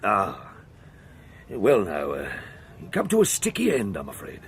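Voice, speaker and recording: An actor playing a rough, self-serving sea captain in a radio drama, with a working-class English accent and colloquial, grumbling speech. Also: male voice, deep voice, huge pitch swings, a little slow, slight background noise, full character voice. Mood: grave. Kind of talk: radio drama character acting.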